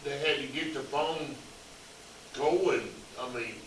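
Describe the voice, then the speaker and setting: A man, a member of a Bible study group, speaking in halting stops and restarts. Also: medium pitch, fairly bright tone. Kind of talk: casual chat. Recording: very echoey room, far from the mic, noisy.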